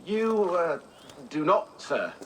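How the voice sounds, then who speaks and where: An actor playing a wary, deferential soldier captain in a video game, hesitant and respectful. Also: male voice, high-pitched, very animated, takes it slowly, a bit bright, a little hiss or hum, heavily acted. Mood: puzzled, deferential. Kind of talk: video game character acting.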